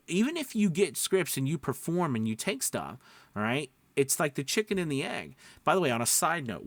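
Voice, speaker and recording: A man speaks as a coach hosting an advice video, with a widely swinging, animated intonation. His talk is casual and reassuring, full of little check-ins with the listener. The sound is dry, with hardly any room in it. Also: on the high side, no echo. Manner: encouraging, reassuring; conversational, checks in with frequent "right?" and "okay?". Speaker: voice-over coach and video host.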